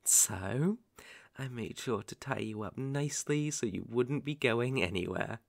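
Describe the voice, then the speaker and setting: Man acting slow, creaky-voiced possessive yandere boyfriend. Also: mid-range voice, dry recording, studio quality. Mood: falsely sweet menace. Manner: theatrical, slow, coaxing with sudden intense swells. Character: possessive yandere boyfriend, menacing yet sugary, unhinged. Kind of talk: ASMR roleplay acting. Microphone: close studio microphone.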